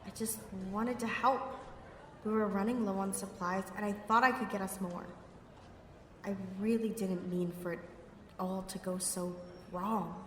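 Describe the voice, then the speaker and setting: Slow-talking sardonic outlaw woman, acted, noisy recording. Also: medium pitch, fairly even intonation, fairly bright tone, reverberant, in a large hall, lightly acted. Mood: bitter, brooding. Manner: wry, sardonic, slangy, talking to herself. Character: cocky, sarcastic young female pickpocket outlaw in a sci-fi city. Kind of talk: scripted audio roleplay monologue, muttering to herself.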